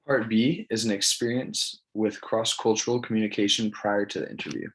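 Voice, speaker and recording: A man's voice: fairly low pitch, steady tone, moderate pace, slight background noise, low-quality recording. A man, giving an informal spoken presentation in a plain, matter-of-fact, casual way. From California, United States. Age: early 20s. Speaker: college student presenting an assignment.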